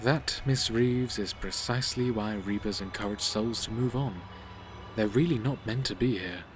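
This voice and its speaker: An actor playing a polite, unflappable, eccentric reaper in an audio drama, speaking formally and with fussy precision. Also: male voice, lowish voice, a little hiss or hum, natural voice. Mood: composed, explanatory. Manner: formal, measured, fussily precise.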